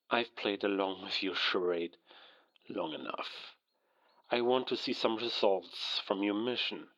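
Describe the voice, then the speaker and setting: An actor playing a stern, skeptical West German intelligence agent, formal and condescending, over a telephone in an audio drama. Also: male voice, fairly low pitch, fairly bright tone. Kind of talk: cinematic audio roleplay acting. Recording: dull, band-limited telephone call.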